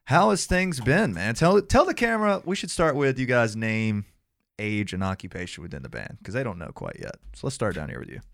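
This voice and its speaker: A man's voice: medium pitch, very animated, fairly quick, creaky, fairly bright tone, no echo. Man, southern us accent, podcast host, joking, loose, dry close sound. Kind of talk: casual interview chat. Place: small indoor studio with several mics.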